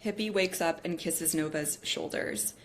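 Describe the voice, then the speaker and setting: A woman reads stage directions from a screenplay. Her voice sits somewhat low for a woman. It is scripted narration for a table read. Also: unhurried, slight background noise.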